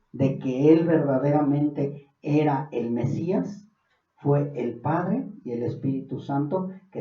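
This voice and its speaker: A man with a Mexican accent preaching a Bible study sermon, earnest and building to fervent emphasis. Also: mid-range voice. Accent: Mexican Spanish. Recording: distant, dull, echoey room.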